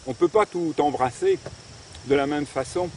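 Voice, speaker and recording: A man's voice: a little high, moderate pace, a little hiss or hum. A man who belongs to a religious order, speaking earnestly and thoughtfully in an interview, thinking aloud as he goes. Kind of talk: reflective interview talk. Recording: echoey room, mic at a distance.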